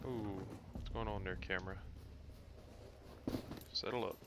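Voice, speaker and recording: Male game streamer, very low voice, offhand thinking-aloud commentary, heavy background noise. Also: steady tone, measured pace. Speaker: streamer playing a video game. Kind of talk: casual gameplay commentary. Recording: loud background noise over the voice.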